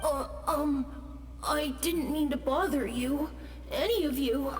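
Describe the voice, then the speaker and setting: A woman's voice: high voice, slow pace. A woman voicing a shy, apologetic little boy ghost in a video game, timid and hesitant. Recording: long reverb, faint music.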